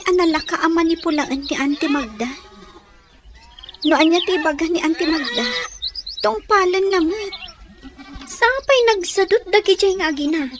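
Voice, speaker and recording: A wronged young Filipino daughter in a radio drama. Her very high voice is bitter and emotional, with bleating farm animals clearly behind it. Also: noisy background. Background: bleating sheep.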